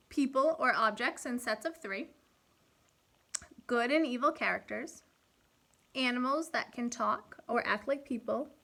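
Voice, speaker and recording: Bright-voiced woman teaching young children. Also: on the high side. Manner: expressive, instructive, questioning. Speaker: elementary school teacher. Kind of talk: read-aloud and lesson for young children.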